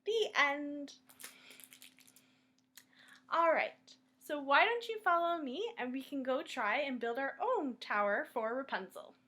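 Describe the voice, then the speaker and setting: Female storytime host for children: high-pitched, very slow-paced, expressive and deliberate read-aloud delivery.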